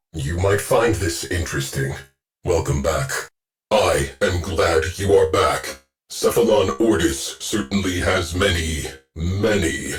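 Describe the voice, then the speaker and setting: An actor playing a calm, terse male ship AI that gives clipped, deadpan tactical orders in a video game. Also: low-pitched. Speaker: ship's onboard AI.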